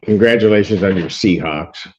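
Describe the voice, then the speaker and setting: A middle-aged man presiding as a judge in a courtroom hearing, joking and teasing, then brisk and firm. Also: low-pitched, a little mellow, muffled recording, slight background noise.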